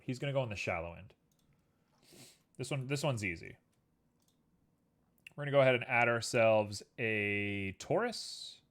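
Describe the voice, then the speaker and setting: A young man streaming live, chatting casually and rambling to his viewers while building a 3D scene. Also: medium pitch. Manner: relaxed, rambling, talks to chat and to objects on screen.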